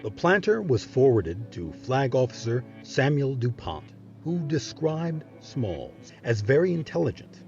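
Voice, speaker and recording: A man's voice: mid-range voice, sing-song, a little slow, hardly any pauses, a little mellow, highs cut off. A man narrating history in a scripted documentary style. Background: background music.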